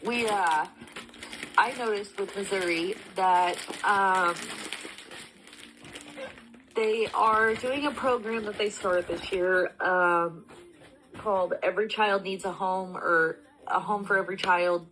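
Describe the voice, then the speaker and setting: A woman's voice: mid-range voice, slow, a bit bright, slight background noise, rough recording. A woman in her thirties with a stuffy nose from allergies, talking casually and rambling with many fillers and restarts. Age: 30s. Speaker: panelist. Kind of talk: casual discussion.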